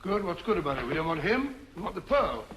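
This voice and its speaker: An actor playing a blustering, exasperated gentleman sidekick, indignant in manner, in vintage detective film style. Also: high voice, big pitch swings, fast, a little hiss or hum, poor audio.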